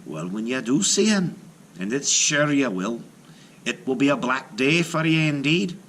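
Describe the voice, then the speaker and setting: Folktale wife played by a male reader: clever, teasing, brisk, pitched high with lilting swoops. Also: big pitch swings, fairly bright tone, a little hiss or hum. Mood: proud. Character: shrewd, bold giant's wife, calm and teasing.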